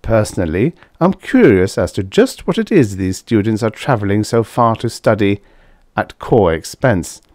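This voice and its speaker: A man voicing a calm, wry male diplomat with an understated, dry manner. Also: fairly low pitch, moderate pace, no room sound.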